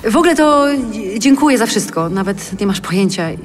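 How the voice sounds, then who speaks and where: An actress playing an unsure, modest mother in her forties in a naturalistic audio drama, talking haltingly. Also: mid-range voice, lively intonation, lightly acted. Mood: grateful, awkward. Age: middle-aged. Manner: hesitant, halting.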